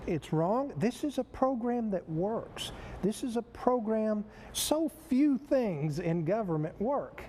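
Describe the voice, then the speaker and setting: A man's voice: high-pitched, animated, slow, warm tone, a little hiss or hum. A man giving off-the-cuff remarks in a news interview.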